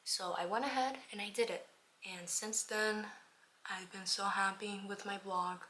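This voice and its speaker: A woman in her twenties from Miami, chatting casually while doing her makeup on her first vlog, upbeat with lots of fillers and some soft whispery asides. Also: mid-range voice, fairly even intonation, unhurried, slight background noise, low-quality recording. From Miami, United States. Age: 20s. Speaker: vlogger. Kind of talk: casual get-ready-with-me chat.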